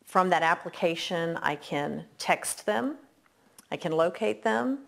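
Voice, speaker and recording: A woman with a notably deep voice speaks as a customer. She explains things step by step in a plain testimonial style. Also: lively intonation, slow pace, gravelly.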